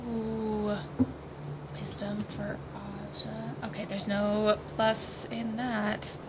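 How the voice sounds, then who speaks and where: A woman's voice: mid-range voice, level tone, very slow. A woman chatting casually as a player in a tabletop roleplaying game, hesitant and thinking aloud. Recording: clear background noise.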